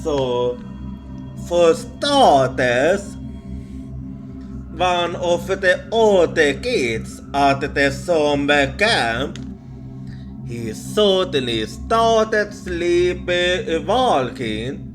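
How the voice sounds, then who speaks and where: A man with a slightly high voice tells a ghost story in ASMR style over prominent music. He is a non-native English speaker. His delivery is extremely slow and halting, often repeating words as he goes. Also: sing-song. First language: not English.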